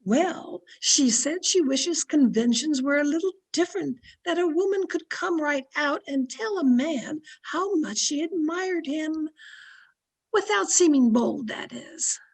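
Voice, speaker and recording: A woman playing a sly, flattering old aunt in an old-time radio comedy, coy and sweetly confiding. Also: high-pitched, measured pace. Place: home, online video-call production.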